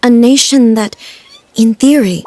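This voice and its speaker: A woman playing an ancient deity ruler in an anime video game, speaking in a formal, measured and earnest way. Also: on the high side, warm tone, natural voice. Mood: solemn, reflective. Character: ancient female deity ruler, dignified, earnest, naively literal. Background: background music.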